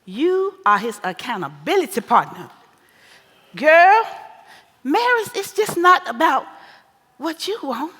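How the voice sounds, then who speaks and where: A theater hall rings around a bawdy older mama played by a woman, high-voiced and bright. She takes her time, preaching sassy advice to her grown daughter with exclamations and broad stage-comedy delivery. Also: sing-song, takes it slowly, pauses often, full character voice. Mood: admonishing. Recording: echoey theater hall with long reverb.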